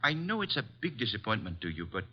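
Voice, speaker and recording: An actor playing a timid, hesitant show producer in an old radio drama, stammering and placating. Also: male voice, on the low side, very animated, fast. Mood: placating, uneasy. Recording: muffled vintage radio broadcast.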